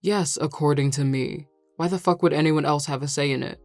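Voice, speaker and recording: A woman's voice: low-pitched, steady tone, fairly quick, darkish tone, no echo, faint music behind. A woman playing a grumpy, defensive tsundere male bar worker, curt and irritated, in an audio roleplay. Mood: defensive, annoyed.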